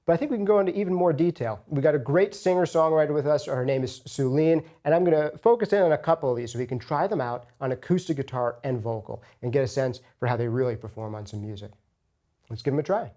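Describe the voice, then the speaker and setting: Male presenter, medium pitch, conversational explainer style for a product review, close studio mic. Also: fast, warm tone. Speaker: presenter of a microphone comparison. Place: recording studio. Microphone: studio microphones, close.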